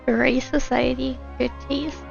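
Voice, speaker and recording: A woman's voice: high voice, level tone, unhurried, gravelly. A woman streamer giving casual live commentary as she plays a game. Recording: dull lo-fi stream audio, faint music.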